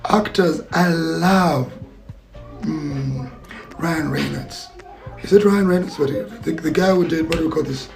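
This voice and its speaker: A man's voice: fairly high pitch, a little slow, creaky, soft background music, rough recording. A man answering interview questions as an actor and filmmaker, reflective and searching for his words. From Zambia.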